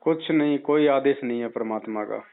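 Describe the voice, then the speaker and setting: A man's voice: medium pitch, moderate pace. A man speaks in an insistent, admonishing way, counselling someone on religion and repeating his points for emphasis. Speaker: religious adviser answering a follower's phone call. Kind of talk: persuasive phone conversation, religious counselling. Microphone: phone call. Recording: tinny, narrow phone-line call recording.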